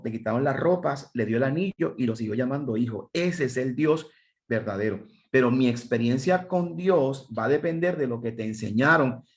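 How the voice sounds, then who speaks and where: An emphatic man with a Puerto Rican accent, running a training seminar for pastors. He counts off his points one by one and keeps turning questions back on the listeners, at a middling pitch. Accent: Puerto Rican Spanish. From Puerto Rico. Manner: emphatic, lists points and asks the audience questions.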